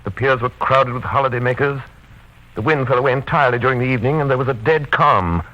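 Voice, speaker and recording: An actor playing a sober, formal doctor who narrates gravely in old radio drama style. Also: male voice, medium pitch, fast talker, pushed and bright, natural voice. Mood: ominous narration. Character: sober male doctor formally presenting evidence. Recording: muffled vintage radio recording.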